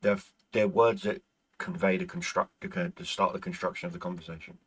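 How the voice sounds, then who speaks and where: A man's voice: low-pitched, fairly even intonation, fast talker, a bit bright, husky. A man whose first language is Irish, hosting a gaming channel in casual, rambling chat with viewers and stumbling over restarts as he is losing his voice.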